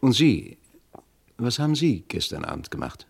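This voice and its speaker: A male police inspector in a vintage crime radio drama. He questions in a clipped, dry manner and sounds sceptical. The voice is lowish and husky, with a widely moving melody. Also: sing-song, normal speed, full character voice. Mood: probing. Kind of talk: vintage German crime radio play acting.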